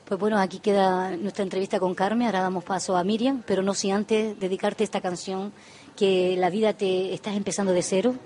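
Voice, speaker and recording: A woman hosting a radio interview show. She talks in a warm, chatty, affectionate way. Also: medium pitch, little pitch movement, brisk, slight background noise. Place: local radio studio.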